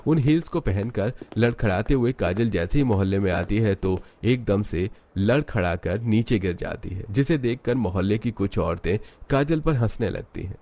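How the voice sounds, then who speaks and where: A man's voice: lowish voice, lively intonation, normal speed, hardly any pauses, dark tone. A man narrating a scripted story as its storyteller. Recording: music bed under voice. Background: background music.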